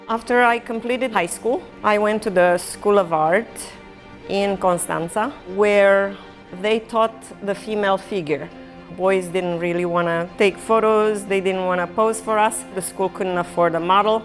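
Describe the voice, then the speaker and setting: A middle-aged woman from Romania, an artist telling her life story in a reflective, plain-spoken way. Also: medium pitch. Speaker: artist and gallery owner. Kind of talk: personal storytelling in a documentary interview.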